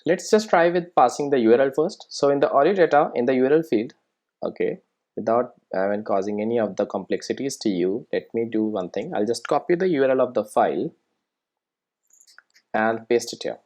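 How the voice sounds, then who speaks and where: Software tutorial presenter, medium pitch, informal, step-by-step explanation, frequent check-ins. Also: animated, brisk. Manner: explanatory, often checking in with "right?" and "okay".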